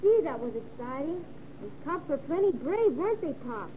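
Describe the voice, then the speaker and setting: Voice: high-pitched, sing-song, moderate pace. A performer playing an eager, trusting little American boy with earnest slangy exclamations in an old radio drama. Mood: excited. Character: eager, trusting little boy who admires his father. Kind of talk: 1930s radio drama acting. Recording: muffled, noisy old radio recording.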